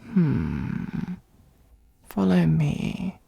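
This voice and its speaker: A performer in an ASMR roleplay voicing an ancient, wry, self-serving dragon who gives advice in a breathy, husky half-whisper with measured, formal phrasing. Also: medium pitch, wildly swooping pitch, dark tone, no room sound, full character voice. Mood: softened, gracious.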